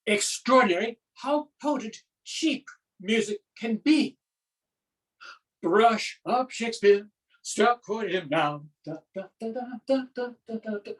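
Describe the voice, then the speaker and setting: A woman's voice: on the high side, expressive, measured pace. Woman acting a flustered, panicking stage amateur, distant sound. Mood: grasping, reciting. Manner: rambling, nervous, stammering asides. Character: flustered panicking accountant stranded onstage as a Shakespearean actor. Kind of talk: theatrical stage monologue.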